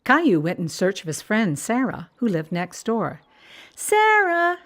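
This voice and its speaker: A woman narrating a scripted children's storybook with expressive, clear phrasing. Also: on the low side, normal speed.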